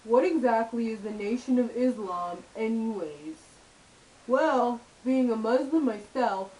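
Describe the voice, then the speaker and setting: Girl doing chatty puppet guys for a school history show, opinionated, voice on the high side. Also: natural voice, rough recording. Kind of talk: scripted school puppet-show dialogue and explanation.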